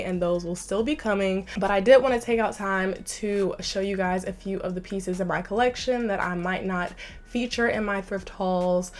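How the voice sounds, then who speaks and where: A woman's voice: medium pitch, slight background noise. A young woman with a stuffy nose from a slight cold, chatting enthusiastically to camera in a casual fashion vlog. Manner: chatty and enthusiastic, says "like" often. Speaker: fashion vlogger.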